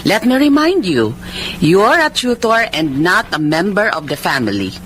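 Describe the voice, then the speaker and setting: A woman's voice: mid-range voice, sing-song, unhurried, fairly bright tone, slight background noise, poor audio. A woman playing a stern, snobbish aunt with a Filipino accent in a radio drama, curt and condescending. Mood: cold, condescending. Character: stern, snobbish aunt guarding her household.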